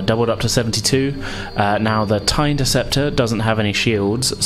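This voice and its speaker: A man running a tabletop roleplaying game, narrating combat and calling out dice results. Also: fairly low pitch. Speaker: game master.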